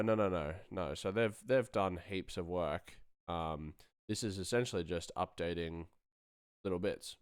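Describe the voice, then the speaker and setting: A man's voice: deep voice, smooth, no room sound, studio quality. A man hosting a live stream, chatting informally and thinking aloud as he works on sound design. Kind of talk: casual tutorial chat while working.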